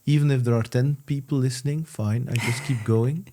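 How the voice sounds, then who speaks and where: A man hosts a relaxed interview, his voice mellow and soft-edged. He talks haltingly, circling back and restarting his sentences as he gropes for words. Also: mid-range voice. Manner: halting, many restarts and fillers. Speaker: podcast host. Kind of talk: casual interview.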